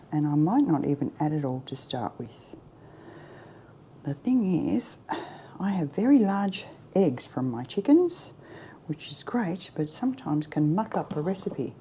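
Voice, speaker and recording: A middle-aged woman chatting her way through a baking tutorial in her home kitchen, self-deprecating and often dropping to a soft near-whisper. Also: sits low, noisy background. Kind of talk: casual cooking demonstration.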